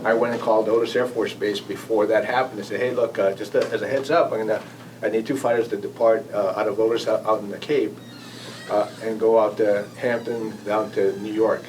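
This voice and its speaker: An American man giving an informal spoken recollection as a guest speaker, in a halting, conversational manner. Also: fairly low pitch, steady tone. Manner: halting, conversational, acting out quoted exchanges. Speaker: guest speaker, air traffic manager. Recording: distant mic, noisy room ambience.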